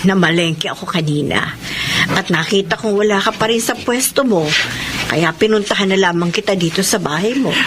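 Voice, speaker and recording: An actress playing a frank, busybody neighbor friend in a Filipino radio drama, chatty and emphatic. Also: female voice, mid-range voice, moderate pace, noisy background, lightly acted. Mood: concerned.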